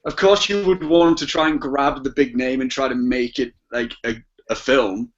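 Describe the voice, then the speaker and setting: A man's voice: medium pitch, steady tone, moderate pace. A man chatting casually as a podcast guest, hesitant and repeating his words as he goes. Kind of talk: casual chat. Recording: muffled, lo-fi podcast audio.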